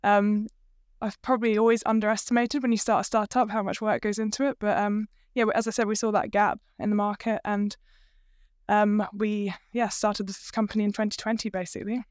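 A woman from the UK speaks with a British accent in an easygoing, rambling way, full of fillers and soft hedges. Her voice is smooth and clear. It is recorded dry and close. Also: mid-range voice, little pitch movement, fairly quick, no echo. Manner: chatty, many fillers and hedges. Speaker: guest, company co-founder. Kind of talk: casual interview talk.

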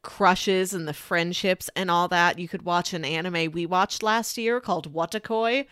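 A woman's voice: lowish voice, steady tone, measured pace, fairly bright tone, clean tone, no echo, clean studio sound. A woman co-hosting a podcast in casual chat, talking enthusiastically and descriptively. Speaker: podcast co-host reviewing anime.

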